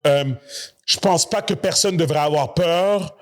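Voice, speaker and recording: A man's voice: a little high, a little slow. A man, a podcast host talking loosely and chattily, dropping in English slang. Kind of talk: casual conversation.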